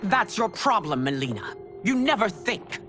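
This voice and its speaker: A woman playing a stern middle-aged empress and mother in a video game cutscene, speaking formally and with measured command. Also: medium pitch, big pitch swings, music in the background, lightly acted. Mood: cold, reproachful.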